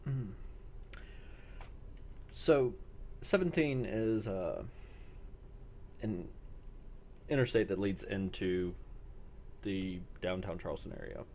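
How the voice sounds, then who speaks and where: Low-voiced man running a tabletop game. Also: takes it slowly, pauses often, darkish tone, a little hiss or hum. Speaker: game master. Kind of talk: casual chat while running an online tabletop game.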